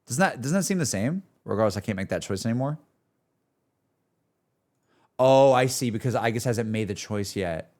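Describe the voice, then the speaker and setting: A man's voice: medium pitch, expressive, normal speed, pauses often, a little mellow. A man streaming casual, slangy, exclamatory reactions to a game as he talks to his viewers.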